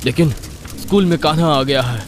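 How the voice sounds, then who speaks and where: A man voicing an evil, devil-worshipping school principal as a melodramatic animated villain, fervent and menacing. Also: a little high, brisk, noisy background, natural voice. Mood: pleading. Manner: chanting, pleading, menacing.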